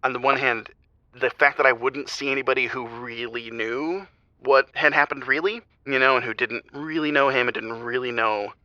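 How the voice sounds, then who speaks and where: A man playing a grieving married father calling an advice show, speaking haltingly and confessionally, on slightly muffled audio. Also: mid-range voice, pushed and bright, no echo, lightly acted. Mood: heavy, conflicted. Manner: halting, subdued, trailing off. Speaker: caller.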